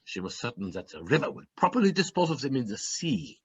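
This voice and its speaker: Man playing a bleak, husky woodcutter father. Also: medium pitch, very animated, brisk, no echo. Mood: grim, confessional. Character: bleak, callous father, a poor woodcutter in a fairy tale. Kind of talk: stage play acting, storytelling monologue.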